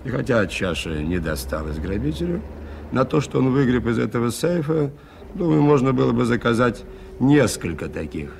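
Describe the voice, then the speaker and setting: An actor playing an earnest, skeptical aviator in a radio play, with a gravelly voice and a measured, formal, dryly ironic manner. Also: male voice, medium pitch, big pitch swings, darkish tone. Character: earnest, skeptical gentleman aviator recounting a mystery. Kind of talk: Soviet radio play acting. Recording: faintly noisy 1980s radio recording.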